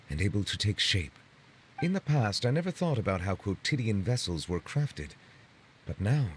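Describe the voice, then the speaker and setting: An actor playing a courteous, solemn dignitary in a video game, speaking in formal, measured, ornate phrases. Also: male voice, on the low side, a little hiss or hum. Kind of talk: video game dialogue acting.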